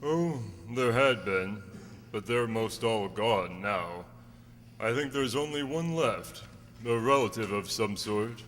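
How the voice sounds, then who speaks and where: A man's voice: fairly low pitch, expressive, slow, fairly bright tone. A man playing a folksy, somber undertaker in an amateur radio drama. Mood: somber, chatty. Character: slow, folksy, somber male funeral undertaker. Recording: reverberant hall, faint noise and hum.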